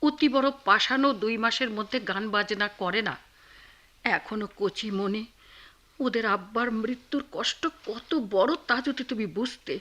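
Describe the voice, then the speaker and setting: A woman's voice: medium pitch, unhurried, pushed and bright, lo-fi sound. An actress playing a compassionate, outspoken middle-aged wife in a radio drama, reproachful and indignant with her husband.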